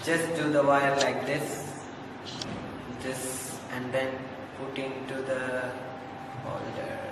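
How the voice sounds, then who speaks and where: A teenage boy from Sri Lanka demonstrates a craft project, pausing often and speaking slowly. The room is very echoey with a long reverb. He is captured by a camera phone at a distance, with clear background noise. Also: mid-range voice, level tone. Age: teens. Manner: simple non-native phrasing with pauses. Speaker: student presenter. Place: classroom indoors.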